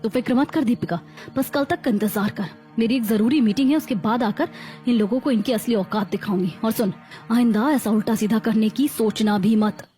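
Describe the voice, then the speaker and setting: Woman acting a fierce high-voiced protective sister-in-law. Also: moderate pace, warm tone, faint music behind, natural voice. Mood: indignant. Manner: scolding, emphatic, declarative. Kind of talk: melodramatic animated story dialogue.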